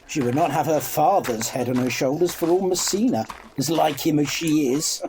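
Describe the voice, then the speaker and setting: A man's voice: fairly high pitch, normal speed, a little mellow, a little hiss or hum, lightly acted. A man playing a cocky bachelor soldier, wry and mock-serious, in a Shakespearean radio play. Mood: cocky banter. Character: cocky, bantering bachelor soldier, scornful of love.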